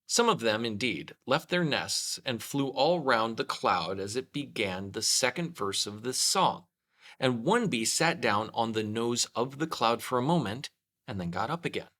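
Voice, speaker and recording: Male storybook narrator, medium pitch, bright tone, reading to children. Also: expressive, measured pace. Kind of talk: children's story read-aloud, scripted narration.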